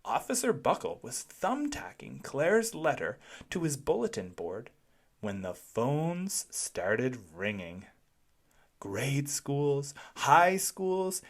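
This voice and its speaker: A read-aloud narrator telling a children's storybook expressively, with deliberate, dramatic phrasing. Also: medium pitch, very animated.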